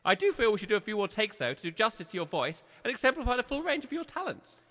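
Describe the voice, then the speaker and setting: A man playing a pompous, excitable village major with a flowery manner and an upper-class English accent in a comic audio drama. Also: high-pitched, fast, no room sound, exaggerated voice. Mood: jovial.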